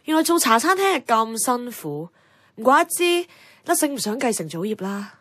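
A woman's voice: fairly high pitch, sing-song, normal speed, a bit bright, no echo, clean studio sound. A woman acting a wistful, earnest young Hong Kong woman in a Cantonese radio drama. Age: young adult. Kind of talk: radio drama acting with reflective first-person narration.

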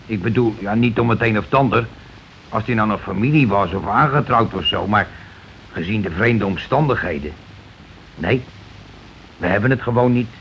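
An actor playing a bossy, calculating working-class husband in a Dutch radio play, blunt and argumentative in colloquial speech. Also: male voice, fairly low pitch, normal speed, pauses often, close to an everyday voice. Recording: dull, noisy old radio recording.

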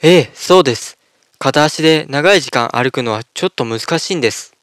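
A man's voice: mid-range voice, animated, a little fast, fairly bright tone, no room sound, close to an everyday voice. A man playing a brave, devoted toy soldier in a children's fairy-tale cartoon, earnest and dramatic. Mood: earnest, gentle.

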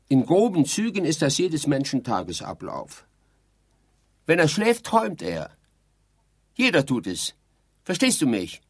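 Pompous, husky-voiced dead scientist. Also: male voice, medium pitch, heavily acted. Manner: haughty, declamatory, indignant lecturing. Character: pompous dead sleep researcher, exasperated and self-important. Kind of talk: theatrical German radio play acting.

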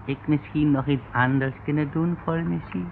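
An actor playing a polite, evasive manservant who speaks deferentially in broken pidgin in an old radio drama. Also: male voice, fairly high pitch, steady tone, normal speed, creaky. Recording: muffled narrow-band old radio recording, faint noise.

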